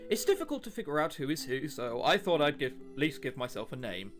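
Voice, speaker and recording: A man's voice: a little high, big pitch swings, fairly quick, a bit bright, pitched below the performer's own voice. A man voicing a friendly, reassuring female shadow ghost in a visual novel, with music behind. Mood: gently reassuring. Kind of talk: visual novel dialogue reading.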